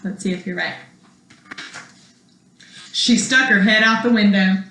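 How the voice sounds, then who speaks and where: Bright-voiced woman reading a storybook aloud. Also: mid-range voice, measured pace. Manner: expressive, asks young listeners questions. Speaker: schoolteacher reading to her students. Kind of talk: children's storybook read-aloud. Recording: echoey room.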